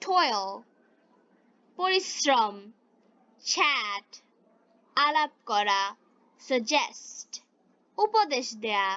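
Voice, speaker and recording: A Bengali-speaking woman teaching English, reading phrase by phrase with deliberate enunciation in a repeat-after-me lesson style. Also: high voice, very animated, sharp tone, dull audio. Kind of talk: slow read-aloud English lesson with repeat-after-me drills.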